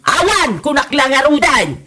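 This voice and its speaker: A woman's voice: sits high, big pitch swings, a little slow, sharp tone, exaggerated voice. A woman playing an older Ilocano widow in a comedy drama, coy, huffy and given to teasing exclamations. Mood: indignant. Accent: Filipino, Ilocano.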